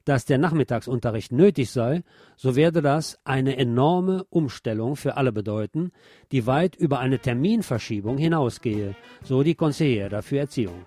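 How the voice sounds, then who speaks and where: A man's voice: mid-range voice, lively intonation, normal speed, mellow, no echo. A man presenting scripted radio news as a narrator, reading reports in an orderly way. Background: music under the voice.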